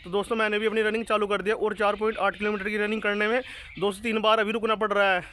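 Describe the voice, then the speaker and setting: High male voice, rapid delivery, informal YouTube advice, insistent, repetitive urging. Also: little pitch movement, bright, forceful tone, slight background noise. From rural India. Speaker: YouTube study channel host. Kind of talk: informal advice to exam aspirants, addressed to viewers.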